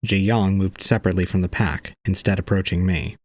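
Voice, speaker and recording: A man playing a detached, resigned young student, narrating solemnly and calmly in an audio drama. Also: low voice, fairly quick. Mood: solemn, pitying. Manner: solemn, calm, unemotional. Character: detached, resigned young male student recounting his own ordeal. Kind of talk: scripted first-person audio drama narration.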